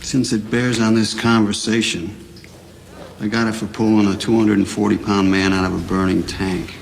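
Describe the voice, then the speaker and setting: A gravelly, low-pitched military master chief in a film drama. He is blunt, exacting and commanding, and there is clear noise behind him. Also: level tone, normal speed. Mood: stern, challenging.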